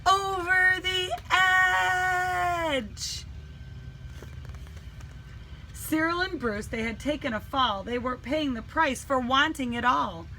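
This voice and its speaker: A woman reading a children's storybook aloud in an animated, dramatic way with deliberate emphasis. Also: high voice, a little hiss or hum, rough recording. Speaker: teacher reading a picture book.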